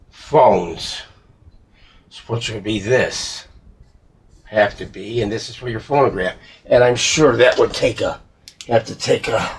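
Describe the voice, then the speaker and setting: A man working as a hobbyist repairer, giving casual, rambling commentary and thinking aloud as he works. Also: lowish voice. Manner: muttering to himself, thinking aloud. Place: indoor workshop. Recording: clear background noise, clicks of handling.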